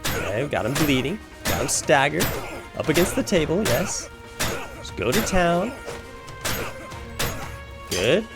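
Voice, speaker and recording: A man's voice: on the high side, sing-song, a little slow, pauses often. A man casually talking through a video game as its guide, explaining his choices and thinking aloud as he plays. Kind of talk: casual walkthrough commentary while playing. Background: music.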